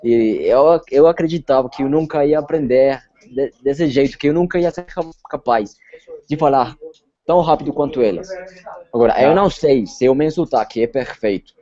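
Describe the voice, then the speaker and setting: A man from Colombia speaks Portuguese with a Spanish accent, answering questions in a relaxed interview. His voice is mellow and dark, and he pauses and repeats words while he hunts for the right phrase. The recording sounds a little dull. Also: mid-range voice. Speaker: interview guest. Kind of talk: casual interview chat.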